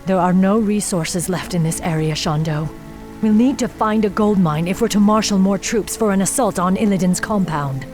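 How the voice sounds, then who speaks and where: A woman's voice: mid-range voice, normal speed, a little mellow, soft background music, lightly acted. Woman playing a stern, imperious elven warden. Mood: businesslike. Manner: formal, imperious, intense. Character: stern, bitter female night elf warden commander. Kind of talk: fantasy game cutscene acting.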